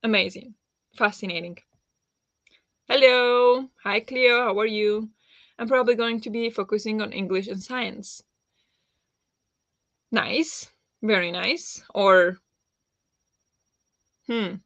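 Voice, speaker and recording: A woman's voice: medium pitch, slow pace, long pauses, no room sound, muffled recording. Woman chatting on a book stream. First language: Slovak. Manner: chatty, warm replies to viewers with frequent fillers and laughs. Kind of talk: live-stream chat reading out comments.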